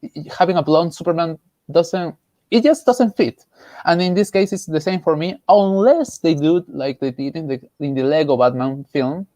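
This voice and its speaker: A man from Peru with a Peruvian Spanish accent, giving casual opinions as a panelist in an emphatic, halting way, often repeating phrases. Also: on the high side, warm tone, no room sound. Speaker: panelist, artist.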